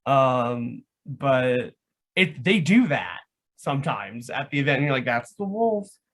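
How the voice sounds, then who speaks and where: A man's voice: medium pitch, very animated, measured pace, fairly bright tone. A man explaining things in casual chat as a guest, rambling and leaning heavily on the word "like". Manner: rambling, says "like" constantly. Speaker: guest, live action roleplay organiser.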